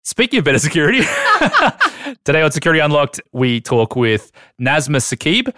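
A man's voice: a little high, sing-song, normal speed, bright, forceful tone, dry recording, clean studio sound. A man hosting a podcast, playful and joking as he banters and introduces the guest. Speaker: podcast host. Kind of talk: casual podcast chat.

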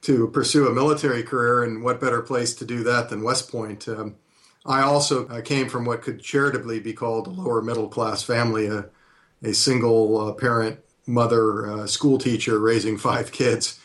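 Measured, even-toned man in his fifties. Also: mid-range voice. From California. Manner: measured, frequent hesitant "uh" pauses. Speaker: interview guest, retired army colonel and history professor. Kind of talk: reflective interview answers.

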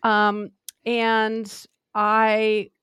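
A woman's voice: fairly high pitch, completely flat delivery, drawn-out words, a bit bright, clean tone, no echo, clean studio sound. A woman telling a rambling, self-deprecating story in a casual podcast chat. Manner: chatty, self-deprecating, many fillers. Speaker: podcast co-host, screenwriter.

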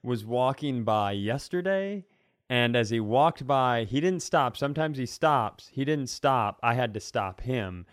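A man's voice: medium pitch, animated, unhurried. A middle-aged man co-hosting a comedy podcast, bantering and telling stories casually in a mock-earnest way. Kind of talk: casual comic banter and storytelling.

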